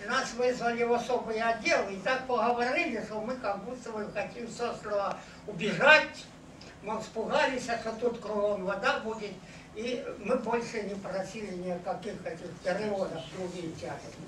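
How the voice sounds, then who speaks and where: A man's voice: high-pitched, normal speed, sharp tone. Really old veteran guy telling his memories, keeps searching for words, echoey noisy hall. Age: over 80. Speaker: war veteran giving testimony. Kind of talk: unscripted personal recollection to an audience. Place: meeting hall with seated audience. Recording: echoey hall, noisy, distant camera mic.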